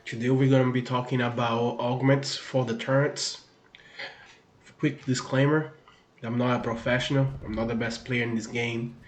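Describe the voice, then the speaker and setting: A man from Brazil with a Brazilian Portuguese accent, giving a relaxed, filler-heavy voice-over about a video game. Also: mid-range voice, moderate pace. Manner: relaxed, many fillers and restarts. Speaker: gaming YouTuber. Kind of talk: casual game guide voice-over. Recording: echoey room.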